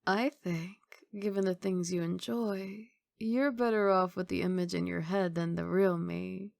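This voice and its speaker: A woman playing a guarded but tender mafia boss girlfriend in an intimate ASMR roleplay, speaking softly and in a measured, wistful way. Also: on the low side, steady tone, gravelly, no echo, clean studio sound. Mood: serious, regretful.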